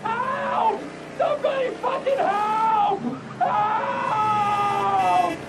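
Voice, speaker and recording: An actor playing a desperate, defiant captive in a crime game, cursing and shouting frantically. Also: male voice, very high voice, extremely slow, vocal fry, very noisy, heavily acted.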